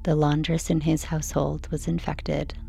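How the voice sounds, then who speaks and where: A woman reading a scripted sleep story in a slow, soothing narration style. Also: deep voice, level tone, creaky, warm tone.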